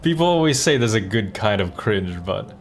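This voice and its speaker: A man with a low voice and plenty of vocal fry, streaming live. He rambles casually in a wry, slangy way over faint music. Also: very animated, moderate pace. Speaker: streamer and voice actor.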